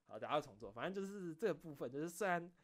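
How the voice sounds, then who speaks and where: A man chatting casually on his own as a streamer, rambling through stories in an animated, self-deprecating way. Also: a little high, quick pace. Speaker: streamer and video creator. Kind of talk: casual solo chat, recounting behind-the-scenes stories.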